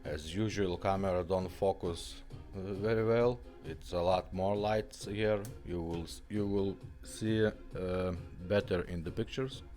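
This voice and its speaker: A man giving a casual, informal product demonstration in a halting delivery, as a vlogger. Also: low voice, steady tone, darkish tone, soft background music.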